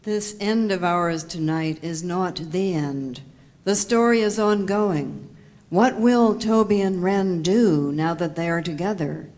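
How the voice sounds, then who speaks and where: Female narrator, slightly low register, unhurried and solemn, scripted dramatic reading. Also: a little mellow.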